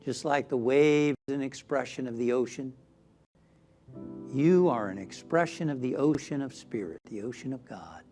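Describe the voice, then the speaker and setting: A man leading a guided meditation as a minister, gentle and soothing, often softening toward a whisper. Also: medium pitch, normal speed, faint music behind.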